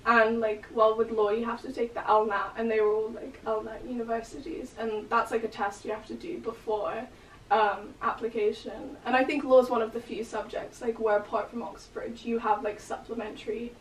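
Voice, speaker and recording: A woman's voice: on the high side, level tone, normal speed. A young woman law student speaking hesitantly with many fillers in a casual interview, recorded by a distant camera in a small room. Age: young adult. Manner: hesitant, many likes and ums. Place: small room with bookshelves, indoors. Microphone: far camera. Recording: roomy sound from a distant camera, faint noise.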